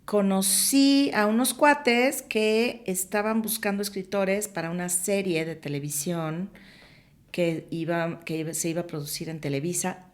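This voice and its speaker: Animated middle-aged Mexican woman, lowish voice. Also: a little slow. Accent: Mexican Spanish. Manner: animated storyteller, many restarts and fillers. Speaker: film producer and director, podcast guest. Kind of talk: casual conversational interview.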